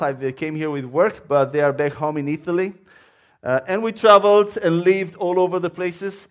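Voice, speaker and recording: A man with a Romanian accent preaches from a church pulpit, his voice sitting a little high for a man. He speaks with earnest fervor, often restarting his phrases as he builds his point. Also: fairly bright tone. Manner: earnest, fervent, with frequent restarts. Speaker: guest preacher. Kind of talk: sermon. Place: church sanctuary.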